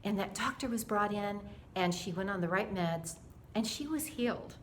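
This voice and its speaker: A mature woman with a medium-pitched voice shares a personal story of faith. She is earnest and dramatic, slipping into dialogue as she retells it. Faint background noise sits under the recording. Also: moderate pace. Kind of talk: animated personal storytelling to camera.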